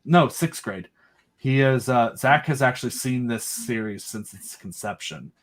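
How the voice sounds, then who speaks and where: A man's voice: medium pitch, a little slow. A man as an interview guest, eagerly explaining his own creative project in casual, rambling chat. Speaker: guest, creator of an audio drama.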